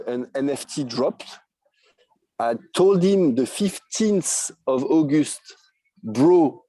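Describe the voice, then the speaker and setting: A man with a thick French accent talks as a podcast guest in a mellow, warm voice pitched a little high. He stops often on fillers and tells his story eagerly. The sound is dry and close. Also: no room sound, rough recording. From France. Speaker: guest, NFT project creator. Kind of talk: podcast interview.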